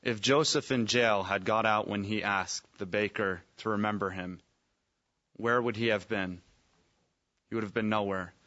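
A man with a lowish voice shares a thoughtful, hedging comment during a Bible study group. The recording is muffled and lo-fi. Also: fairly even intonation, moderate pace, sharp tone.

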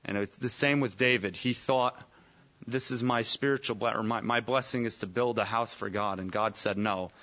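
Man, Bible study discussion, thoughtful, tentative, lowish voice, muffled recording. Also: steady tone, normal speed, sharp tone.

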